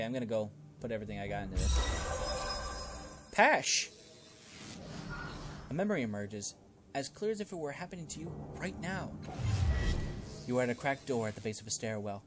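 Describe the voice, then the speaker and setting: A man playing through a role-playing game, reading its text aloud and adding casual, expressive commentary of his own. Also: mid-range voice, background noise. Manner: expressive, reacting out loud to the game. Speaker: gaming video host playing a role-playing game.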